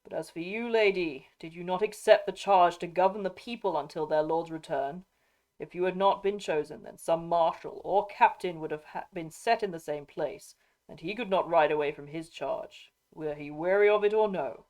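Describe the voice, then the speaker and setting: A woman's voice: on the low side, normal speed, a little mellow, lightly acted. A woman voicing a stern, resolute noble lord in a fantasy audiobook, with solemn, firm and archaic formal phrasing. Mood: grave counsel. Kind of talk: dramatic audiobook character reading.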